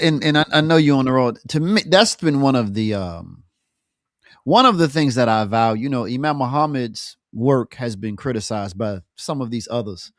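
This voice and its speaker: A man hosting an interview, speaking haltingly and repeating words as he works toward his point. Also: mid-range voice, no room sound, studio quality. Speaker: podcast host. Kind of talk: interview talk.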